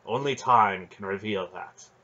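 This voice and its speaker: A man's voice: fairly low pitch, unhurried, pushed and bright, dull audio. A man voicing a brooding noble knight who speaks in an archaic, earnest and declaiming way. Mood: troubled, solemn. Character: brooding noble male knight, formal and solemn.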